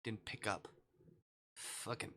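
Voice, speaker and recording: A male streamer reading a visual novel aloud dramatically, with expressive, exasperated delivery and asides of his own. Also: takes it slowly, background noise. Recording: faint music behind the voice.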